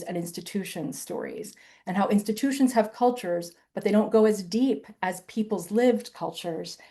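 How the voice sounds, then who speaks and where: A woman in her fifties gives a relaxed, conversational answer in an online talk. Her voice sits in the middle range with a mellow, darker tone. She talks in an animated way and keeps tossing in "right?" as she goes. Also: mid-range voice. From Southern United States and Illinois. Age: 50s. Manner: chatty, animated, tags points with "right?". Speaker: author and webinar presenter.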